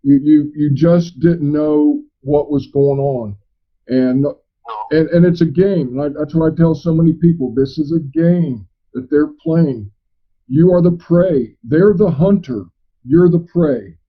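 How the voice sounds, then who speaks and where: A man speaking emphatically on a recorded phone call, halting and repeating himself for effect. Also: on the high side. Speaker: private investigator. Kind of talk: phone conversation. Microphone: telephone.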